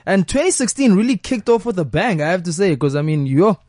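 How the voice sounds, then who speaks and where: A young man hosts a studio talk show in an easy, chatty way, full of filler words. His voice sits a little high for a man but sounds dark and mellow. The sound is close and dry. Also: a little fast, no room sound. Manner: relaxed, chatty, many fillers. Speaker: radio show host. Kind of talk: casual radio talk show. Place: radio studio.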